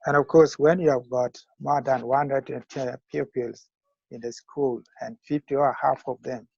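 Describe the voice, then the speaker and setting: An older man in his sixties with a Ugandan accent, giving measured, formal interview answers as a school founder. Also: medium pitch, steady tone, vocal fry, darkish tone, slightly rough, no echo. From northern Uganda. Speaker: school founder and retired teacher. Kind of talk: interview answers on a video call. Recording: muffled lo-fi call audio.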